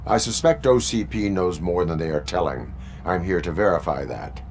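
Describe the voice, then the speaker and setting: An actor playing a stoic, unyielding cyborg policeman in a video game, with clipped, deadpan, robotic delivery. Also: deep voice, moderate pace, slight background noise. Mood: stern deadpan. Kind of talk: video game cutscene acting.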